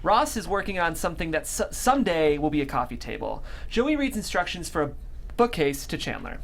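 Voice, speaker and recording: A man's voice: fairly high pitch, very animated, a little fast, gravelly. A man reading out scripted stage directions as narrator at a table read.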